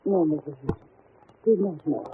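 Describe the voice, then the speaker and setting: A woman's voice: low-pitched, moderate pace, natural voice. On a tinny, faintly noisy vintage radio recording, an actress plays a young governess with an anxious, well-spoken manner. She confides her fears earnestly and hesitantly, with period drama polish. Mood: uneasy, confiding. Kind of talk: old-time radio drama acting.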